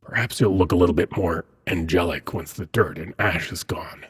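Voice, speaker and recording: A suave demon prince, acted by a man, speaks in a very low register with a heavily husky, breathy voice. He is haughty and courtly, choosing his words with measured condescension. The delivery is that of a seductive fantasy audio roleplay. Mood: softly coaxing. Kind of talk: dramatic ASMR roleplay monologue.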